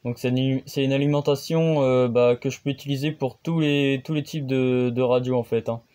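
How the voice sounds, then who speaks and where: A man's voice: medium pitch, fairly even intonation, moderate pace, dark tone, clear, resonant tone. A man, a radio hobbyist host, chatting casually on the air and hesitating often with fillers. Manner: hesitant, many fillers and restarts.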